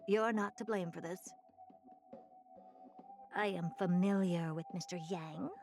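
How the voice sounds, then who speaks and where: A woman's voice: on the low side, a little slow. A woman playing a haughty, impatient genius scientist in a video game, with an imperious, dry and dramatic manner. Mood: grudging. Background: prominent music.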